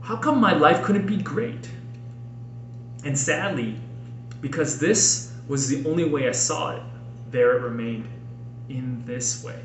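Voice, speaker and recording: A man's voice: on the high side, animated, measured pace, long pauses. Earnest man narrating in an echoey room. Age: late 30s. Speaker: author and podcast host reading own book. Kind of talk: expressive audiobook-style personal narration. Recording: roomy echo, faint background noise.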